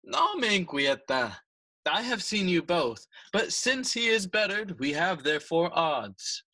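A man's voice: sits high, big pitch swings, measured pace, sharp tone, heavily acted. Scheming king, middle-aged. Stately, ceremonious, commanding delivery of stage verse for audio drama. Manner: stately, commanding, switching between English and Spanish.

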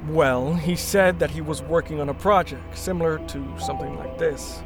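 A man's voice: on the high side, over music, natural voice. A man playing an injured, stubborn rebel agent in a sci-fi radio drama, reasoning insistently. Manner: insistent, measured explaining.

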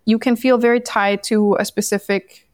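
A woman from Denmark, a podcast guest telling her story in a candid, animated way. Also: medium pitch, moderate pace, no echo, studio quality. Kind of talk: casual interview conversation.

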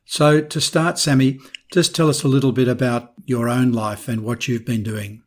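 A man hosting an interview, giving introductions and questions in a measured, conversational way. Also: mid-range voice, steady tone.

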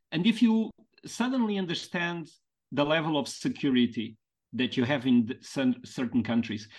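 A man from Portugal with a Portuguese accent, a podcast guest speaking English as a second language in a thoughtful, halting way, stretching out key words. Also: on the high side. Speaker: podcast guest, mentoring founder. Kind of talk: reflective conversational interview.

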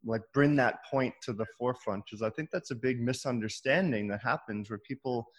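A man's voice: mid-range voice, brisk. A man hosting an interview, affirming the guest and asking long, searching questions with repeated words. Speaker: podcast host.

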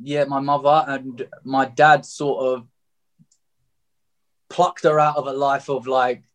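A man's voice: mid-range voice, flat delivery, takes it slowly. Man, around thirty, southeast English accent, reflective, open, candid personal storytelling. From Margate, England. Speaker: interview guest.

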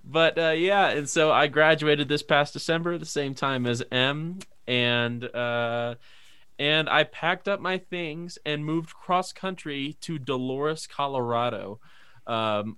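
A man's voice: mid-range voice, fairly even intonation, slow, pushed and bright, clear, resonant tone. A man in his twenties with an American accent, chatting casually and jokily as a podcast co-host. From Northern Kentucky, United States. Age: 20s. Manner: jokey, laughs often.

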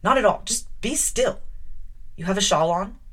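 A woman reading an audiobook, voicing a gruff, imperious middle-aged gentleman who has just been woken. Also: mid-range voice, expressive, normal speed, lightly acted. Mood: commanding. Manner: blustering, imperious.

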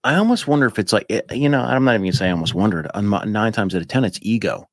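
A man in his fifties with a fairly low voice, talking as a podcast guest. He rambles, jumps between thoughts and fills gaps with "like" and "you know." The sound is dry and close, with little room behind it. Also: racing, dry recording. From United States. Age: 50s. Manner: rambling, animated, with many fillers. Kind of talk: casual chat.

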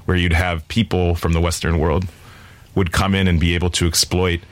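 Deep-voiced man explaining earnestly. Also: level tone, moderate pace, no echo. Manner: earnest, expansive explaining with fillers. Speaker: music nonprofit founder. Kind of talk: interview conversation.

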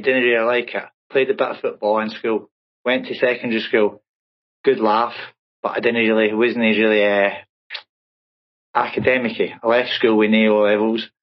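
A man's voice: on the low side, dull audio. A man in his fifties with a Glaswegian accent, telling his life story as an interview guest in a chatty way, often adding "right?". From Scotland. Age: 50s. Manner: chatty, peppers speech with "right?".

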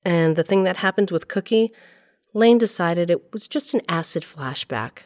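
A wry middle-aged storyteller voiced by an actress narrates in a low female voice. She is confiding and intimate, as in an audio drama, recorded close and dry. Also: expressive, moderate pace, a little mellow, dry recording, close to an everyday voice. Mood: storytelling. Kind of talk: first-person scripted narration in audio drama.

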